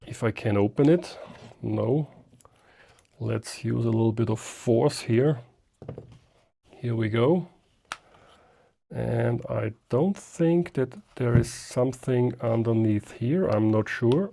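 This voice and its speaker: A man hosts an unscripted hands-on product demonstration in a relaxed, soft-edged voice of medium pitch. His delivery is slow and halting, as he thinks aloud while he works. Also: creaky. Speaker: amateur radio video host.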